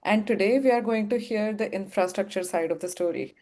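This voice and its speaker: A woman moderating a webinar panel, warm and measured in a formal way. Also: mid-range voice.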